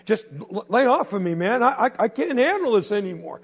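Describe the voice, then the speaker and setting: A man's voice: high-pitched, very animated, brisk, slightly rough, long reverb tail, voice pushed up, full character voice. A man playing an exasperated teenage boy. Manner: pleading, exasperated. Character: angry fourteen-year-old boy fiddler.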